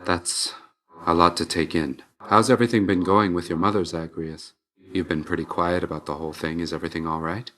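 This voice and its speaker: Game role: young male death god, deep low register, dutiful, reserved, measured delivery. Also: steady tone, fairly bright tone. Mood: taken aback, then concerned, gentle. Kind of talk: video game character voice acting.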